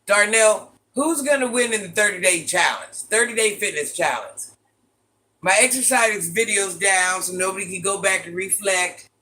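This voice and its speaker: Older lady with a real deep voice, bright tone, sassy trash talk while chatting on a livestream. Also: normal speed, rough recording. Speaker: livestream host. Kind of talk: casual livestream chat reading viewer comments.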